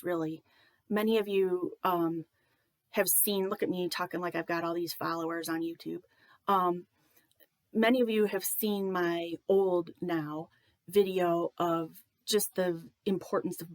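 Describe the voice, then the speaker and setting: A woman talking to camera in a joking, self-deprecating way, with many pauses and a slightly nasal voice. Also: lowish voice, steady tone, no echo. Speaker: video creator.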